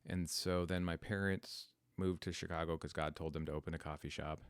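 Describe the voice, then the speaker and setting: Podcast host, male, 40s, American. Very deep voice recorded dry, thinking aloud through a personal story. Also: steady tone, a little fast, clear, resonant tone, no echo. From United States. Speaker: podcast host telling their life story. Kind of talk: reflective, unscripted personal storytelling.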